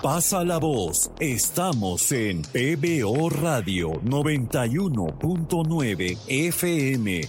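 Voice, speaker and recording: A man reading station identification as a radio announcer, slow and deliberate in a lively promo delivery. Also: medium pitch, over music.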